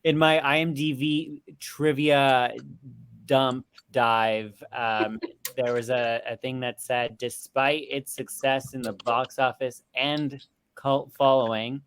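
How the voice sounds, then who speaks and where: A man with a smooth, clear voice of middling pitch chats casually about a film. His delivery is wry and lightly sarcastic. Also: little pitch movement, slow, a bit bright, no echo.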